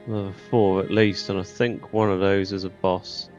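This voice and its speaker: A man's voice: deep voice, level tone, moderate pace, darkish tone, lo-fi sound, soft background music. A man giving casual, colloquial gameplay commentary, thinking aloud as he goes. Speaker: gaming YouTuber.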